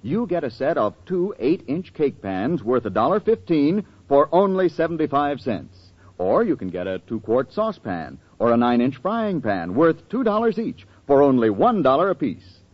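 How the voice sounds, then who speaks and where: Animated, husky American radio announcer, male. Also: mid-range voice, normal speed, dry recording. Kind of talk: 1940s radio announcing, scripted. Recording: dull lo-fi old radio broadcast.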